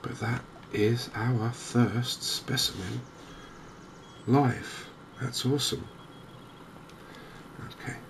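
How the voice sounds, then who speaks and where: A soft-spoken man with an English accent giving unhurried, hesitant ASMR commentary over a video game. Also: mid-range voice, steady tone, vocal fry, slight background noise. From England. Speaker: gaming video host.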